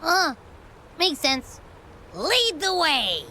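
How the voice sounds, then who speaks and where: A woman voicing a curious, bubbly young companion in a video game, expressive and often musing aloud. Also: very high voice, extremely slow, a little hiss or hum, heavily acted. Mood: puzzled, playful. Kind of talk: animated game dialogue acting.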